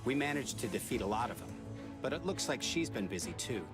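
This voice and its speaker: An actor playing a casual, easygoing young-sounding fighter in an English anime game dub. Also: male voice, medium pitch, sing-song, fairly quick, close to an everyday voice. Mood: concerned. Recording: loud game music behind.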